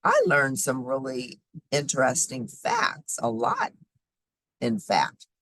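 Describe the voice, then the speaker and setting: Female children's nonfiction reader: deliberate, explanatory, lively intonation, husky tone, close dry sound. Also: very deep voice, no room sound. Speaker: host reading children's science books aloud. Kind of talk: read-aloud of a children's nonfiction book.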